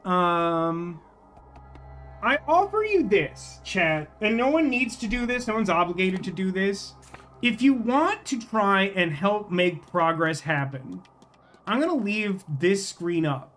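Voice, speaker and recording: A man's voice: high voice, lively intonation, takes it slowly, fairly bright tone. A man hosting a gaming livestream, chatting casually to viewers and thinking aloud in a rambling way. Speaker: livestream host, gamer.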